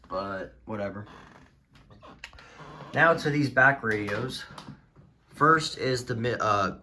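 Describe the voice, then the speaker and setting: A man talking casually in a halting, rambling way as he presents his collection in a vlog. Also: medium pitch, bright, forceful tone. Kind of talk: casual show-and-tell vlog.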